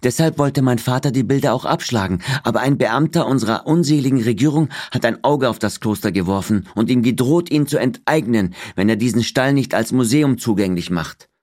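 A man's voice: mid-range voice, animated, brisk, hardly any pauses, a little mellow, no room sound, clean studio sound, voice pushed up, lightly acted. A man voicing a resentful, pious young Turkish village woman who explains things politely, in an audiobook. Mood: aggrieved. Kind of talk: audiobook character dialogue.

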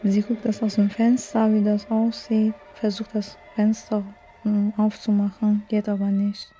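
A woman's voice: medium pitch, monotone, measured pace, mellow, low-quality recording. A woman retelling a story aloud off the cuff in plain, short phrases. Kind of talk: improvised oral retelling. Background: faint music.

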